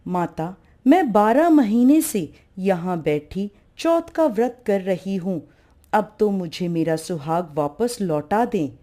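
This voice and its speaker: A woman's voice: medium pitch, animated, takes it slowly, dark tone. A woman voicing a devout, grieving young wife in a folk tale, pleading and insistent.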